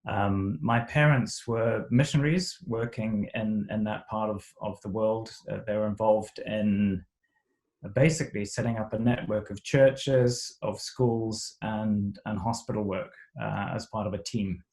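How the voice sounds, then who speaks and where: A middle-aged man from Zimbabwe, raised speaking Shona and English, telling his life story as an interview guest in a halting way, with many fillers and restarts. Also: on the low side, fairly even intonation, a little mellow. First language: Shona alongside English.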